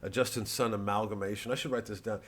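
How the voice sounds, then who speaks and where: A male comedian talks casually in a fairly low voice. He rambles from tangent to tangent, halting and restarting as he goes. Swearing is sprinkled freely through the monologue. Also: fairly even intonation. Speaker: comedian, host.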